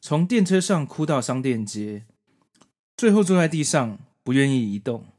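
Man storytelling with animated, swinging pitch. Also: normal speed. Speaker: podcast host. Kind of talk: scripted narration of manga story and commentary.

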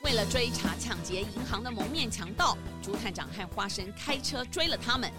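Lively, higher-voiced woman narrator over music. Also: normal speed. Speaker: story narrator. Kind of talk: scripted children's story narration.

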